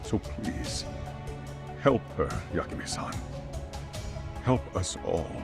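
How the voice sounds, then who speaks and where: A courteous, middle-aged school chairman in a video game, grave and formal. He speaks earnestly in a fairly low voice, with music playing clearly behind him. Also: very animated, slow pace, heavily acted. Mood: solemn, pleading. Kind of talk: English video game dub acting.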